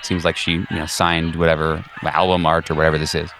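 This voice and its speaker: A man narrating a tabletop horror game as game master, improvising in a conversational, halting, descriptive way. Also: a bass voice, flat delivery, fairly bright tone, a little hiss or hum.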